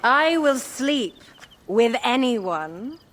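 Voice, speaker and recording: A woman's voice: a little high, big pitch swings, very slow, a bit bright, heavily acted. An actress playing an imperious, decadent young queen of ancient Egypt in a video game, with a regal, theatrical manner. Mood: languid, provocative. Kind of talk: video game cutscene acting.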